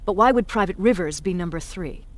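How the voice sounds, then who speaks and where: An actress playing a tough, stern resistance commander in a video game, authoritative, curt and dryly sardonic. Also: female voice, on the low side, expressive, fairly quick, natural voice. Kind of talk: dramatic video game acting.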